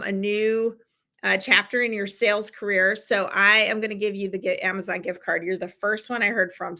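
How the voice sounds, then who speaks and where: A middle-aged woman hosts a live stream, talking straight to her viewers in a chatty, encouraging way. Her voice is bright and a little tense, and her pitch sits in a middle range. She keeps filling pauses with ums and restarts as she reads comments. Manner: chatty and encouraging, with many fillers. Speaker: podcast host running a live challenge. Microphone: streaming from a computer.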